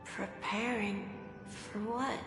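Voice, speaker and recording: A woman's voice: medium pitch, fairly even intonation, slow pace. A woman voicing a small, earnest child in a game, asking things in an innocent, hesitant way. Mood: puzzled, wistful. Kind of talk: scripted game cutscene acting. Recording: echoey hall reverb with background music.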